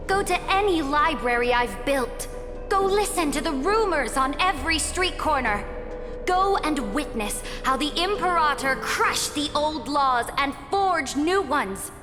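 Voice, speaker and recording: A proud tyrant empress, high voice, slow grand speeches, big reverb n music. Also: female voice, expressive. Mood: impassioned, grand. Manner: grand, declamatory, formal oratory. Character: proud, imperious conquering empress, commanding and unrepentant. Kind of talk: dramatic video game voice acting. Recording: long reverb with loud music behind.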